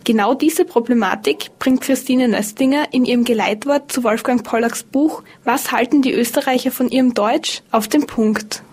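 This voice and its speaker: A woman narrating a scripted radio feature in a measured, informative way. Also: on the high side, a little mellow, breathy edge.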